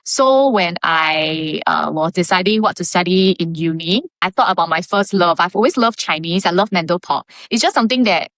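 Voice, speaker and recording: A woman's voice: on the low side, expressive, normal speed, few pauses, fairly bright tone, dry recording. A woman in her thirties with a Singaporean English accent, a radio DJ telling stories in a casual podcast interview, slipping in Mandarin and "lah". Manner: chatty storytelling, mixing in Mandarin and "lah", "lor". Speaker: radio DJ guest.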